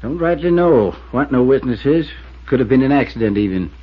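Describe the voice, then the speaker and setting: Casting: middle-aged New village constable played by a male actor, husky voice, drawl, laconic and skeptical, muffled old radio drama sound. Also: mid-range voice, animated, close to an everyday voice. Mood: grumbling, doubtful. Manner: slow country drawl, clipped folksy phrasing. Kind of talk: 1950s radio drama acting. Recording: muffled 1950s radio recording.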